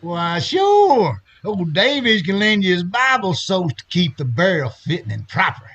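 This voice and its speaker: A man's voice: high voice, big pitch swings, a little slow, a bit bright, breathy edge, lo-fi sound, exaggerated voice. A man playing a crude, jeering cowboy in an old radio western.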